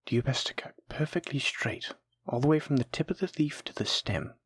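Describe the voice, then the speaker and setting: A voice actor plays a patient, kindly alchemy teacher from a fantasy audio roleplay. The voice is breathy and moves expressively up and down, recorded close and dry. The teaching is gentle and encouraging, aimed at a nervous beginner. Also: mid-range voice, expressive, a little fast, dry recording, in a whisper. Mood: patient, instructing. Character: kind, patient alchemy teacher guiding a nervous new apprentice. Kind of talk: soft-spoken scripted fantasy audio roleplay.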